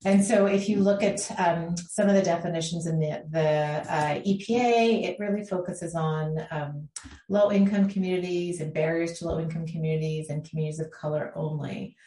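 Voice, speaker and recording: A middle-aged woman from the Bahamas, a law professor answering interview questions in a measured, thoughtful way with frequent ums. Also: lowish voice, fairly even intonation, mellow. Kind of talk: podcast interview. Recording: echoey room.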